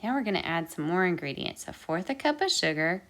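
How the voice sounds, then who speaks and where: A woman's voice: on the low side, very animated, a little fast, fairly bright tone. A woman hosting an interactive children's baking storytime, encouraging listeners with sing-song, repeated instructions. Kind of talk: interactive children's baking lesson with counting and singing.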